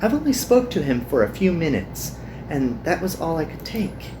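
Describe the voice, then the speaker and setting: A woman voicing a kind, earnest young magical girl in a visual novel, with gentle and formal phrasing. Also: deep voice, sing-song, normal speed, noisy background. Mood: hopeful.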